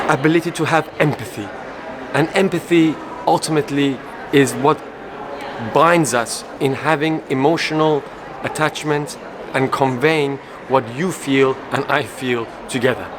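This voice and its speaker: A man from Iran, co-founder of an advocacy group, speaking slowly and earnestly in an interview. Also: mid-range voice. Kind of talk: conference interview statement. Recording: loud background noise.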